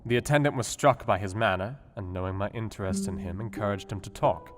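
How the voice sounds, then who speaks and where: Man as low-voiced brooding Victorian doctor. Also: natural voice. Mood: clinical. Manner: measured, reflective, formal. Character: brooding Victorian asylum doctor, analytical and melancholy. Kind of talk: radio play acting, dictating a diary.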